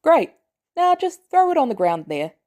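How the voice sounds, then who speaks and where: A woman's voice: high voice, big pitch swings, moderate pace, darkish tone, pitched above the performer's own voice. A woman voicing a wry, fatherly male superhero inventor in a story reading, casual and teasing.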